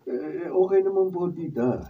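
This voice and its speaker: A man's voice: high-pitched, lively intonation, moderate pace, phone-quality sound, slight background noise. A man playing a reassuring but evasive doctor nephew in a Filipino radio drama, with a Filipino accent. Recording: distant mic.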